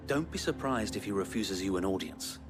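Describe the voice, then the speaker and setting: An actor playing a loyal, battle-weary resistance knight in a fantasy game, earnest and formal with a rough soldier's tongue. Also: male voice, low voice, animated, a little fast. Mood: earnest, grave. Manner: earnest, rousing, formal speech with some coarse swearing. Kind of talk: dramatic fantasy game acting. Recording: orchestral game music behind.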